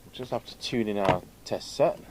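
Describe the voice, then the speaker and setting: Low-voiced man muttering at a workbench. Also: normal speed. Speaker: radio repair vlogger. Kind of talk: casual hands-on bench commentary. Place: indoors at an electronics workbench. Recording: clear background noise from a workshop. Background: background noise.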